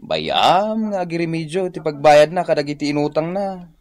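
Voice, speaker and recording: A man's voice: a little high, big pitch swings, normal speed, darkish tone. A man playing an earnest friend giving sober advice in an Ilocano-accented radio drama. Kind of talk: Filipino radio drama acting.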